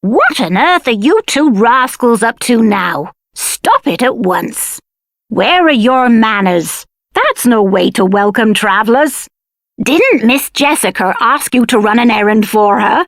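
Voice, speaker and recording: Motherly, expressive village woman. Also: on the high side, a little slow, dry recording, clean studio sound. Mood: scolding. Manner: motherly, earnest. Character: motherly village woman, scolding yet welcoming. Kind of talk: video game character acting.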